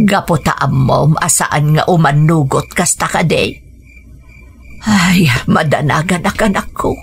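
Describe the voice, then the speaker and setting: A woman playing a caring, humble older mother in a radio drama, gentle, hesitant and fretful in manner. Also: low voice, a little slow, creaky, breathy edge, soft background music, heavily acted. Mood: worried.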